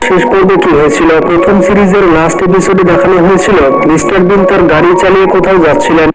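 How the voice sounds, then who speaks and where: A man's voice: sits high, steady tone, fast talker, a loud crowd, low-quality recording. A man reading scripted countdown narration for a video. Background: loud music.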